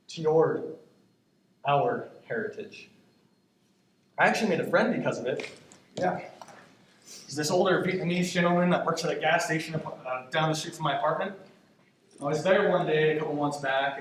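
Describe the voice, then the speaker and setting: A man's voice: on the high side, brisk, pauses often, lightly acted. A man acting a tender grandson in his twenties in a stage monologue, confiding in his departed grandmother in a reflective, hesitant, conversational way. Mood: chatty, fond. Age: 20s. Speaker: stage actor in a student play. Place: indoor stage, recorded from afar. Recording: echoey, distant stage recording with noise.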